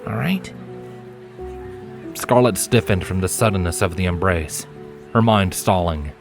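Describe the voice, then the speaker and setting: A man's voice: low-pitched, moderate pace. A man reading scripted story narration as an audiobook narrator. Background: background music.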